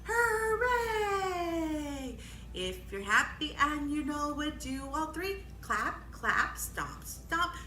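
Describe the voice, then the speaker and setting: High, bright woman leading children's storytime. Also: very animated, extremely slow. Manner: exclamatory, encouraging, prompting listeners with questions. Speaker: library storytime host for young children. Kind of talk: children's storytime with action songs and guessing games. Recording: echoey room, faint background noise.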